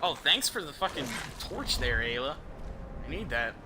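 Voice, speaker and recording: A man's voice: fairly high pitch, sing-song, normal speed, noisy background. A young American man giving casual gameplay commentary as a streamer, rambling with slang and swearing over game music.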